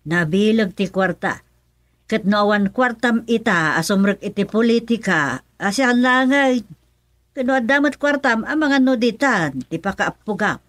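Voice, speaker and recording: A woman's voice: medium pitch, animated, unhurried, a bit bright, no room sound. An actress playing a worried, nagging middle-aged mother in a radio serial, emphatic, with an Ilocano accent. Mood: cautioning. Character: worried, nagging mother, older than her son. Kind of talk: radio serial drama acting.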